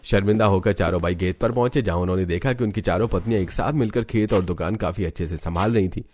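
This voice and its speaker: A man's voice: low voice, fast talker, a little mellow, slight background noise. A man narrating a scripted cartoon family story as a storyteller.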